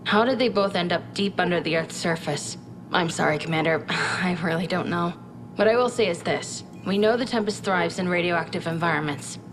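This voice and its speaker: An earnest female science advisor played by an actress in a video game briefing, her voice a little low. She talks candidly and thoughtfully, with reflective asides, over clear rumbling background noise. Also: normal speed, close to an everyday voice. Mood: puzzled, candid. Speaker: military science officer briefing a commander.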